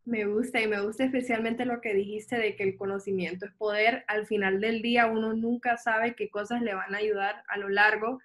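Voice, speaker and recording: A woman's voice: mid-range voice, level tone, moderate pace, few pauses, a bit bright. A woman interviewing a business owner, asking long, friendly questions.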